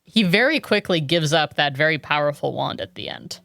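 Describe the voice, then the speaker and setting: Woman podcaster, smooth voice, says "like" a lot, dry close mic. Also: sits low, animated, normal speed, fairly bright tone, no echo. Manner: chatty and analytical, many "like"s. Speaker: podcast co-host. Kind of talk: casual book podcast chat. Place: home room with a cat.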